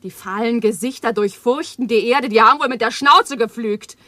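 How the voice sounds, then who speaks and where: An actress playing Eva, an overwrought and bitter young woman, in a radio play, speaking with impassioned swings from hushed to loud. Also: on the high side, moderate pace, dry recording. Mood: intense. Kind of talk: 1980s radio drama acting.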